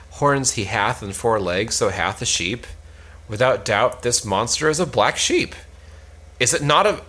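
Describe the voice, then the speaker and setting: Man reading a wry page, lively pitch. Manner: theatrical cold reading, questioning. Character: Epi, wry young male page serving the braggart knight.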